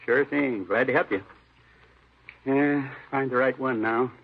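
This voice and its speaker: A man's voice: medium pitch, a little slow, phone-quality sound, close to an everyday voice. A simple, eager male janitor played by a radio actor who rambles and repeats himself in homespun, ungrammatical talk. The sound is a dulled old radio drama recording with some background noise. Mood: eager, defensive. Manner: folksy, ungrammatical, rambling, repetitive. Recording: noisy, band-limited old radio recording.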